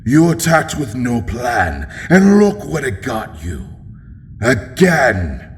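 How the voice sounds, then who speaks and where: Man acting a slow, stern villainous father. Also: mid-range voice, sing-song, long reverb tail, slight background noise. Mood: scolding. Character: stern old villain father, scolding and cautioning. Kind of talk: audio drama acting.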